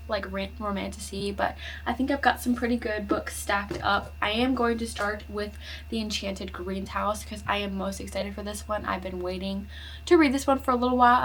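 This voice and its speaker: Woman, casual book vlogger; rambly, chatty delivery at a middling pitch. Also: gravelly, a little hiss or hum, poor audio. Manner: chatty, rambling, heavy on "like" fillers. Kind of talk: casual book chat to camera.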